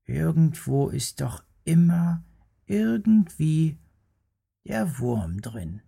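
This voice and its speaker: A small bear cub from a children's storybook, slow and whiny, as the storyteller voices him. His voice sits raised above the narration, dragging his words in a sulky way. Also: mid-range voice, gravelly, darkish tone, husky, voice pushed up, full character voice. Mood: mumbling. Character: young male bear cub, grumbly and greedy. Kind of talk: storybook character voice.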